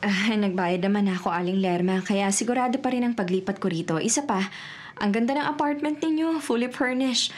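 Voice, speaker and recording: A woman's voice: medium pitch, brisk, darkish tone, natural voice. An actress playing a cunning female forger who is posing as someone else, acting and narrating in a Filipino radio drama. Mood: polite, calculating. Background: dramatic music.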